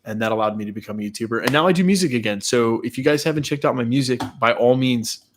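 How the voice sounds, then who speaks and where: A man's voice: medium pitch, fast talker, a little hiss or hum. Congested man chatting on a live stream. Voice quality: nasal, stuffy. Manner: loose and chatty, reads viewer comments aloud and answers them. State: congested from a cold. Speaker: YouTuber hosting a live watch party. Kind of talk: casual live-stream chat reading comments.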